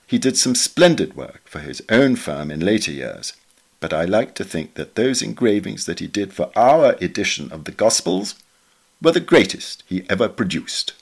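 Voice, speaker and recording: A man with a lowish voice reads scripted prose for a podcast. His delivery is measured and articulate, like a careful narrator of a printed memoir. He leans on key phrases with expressive emphasis. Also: vocal fry, slightly rough. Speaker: reader of a magazine article for a podcast.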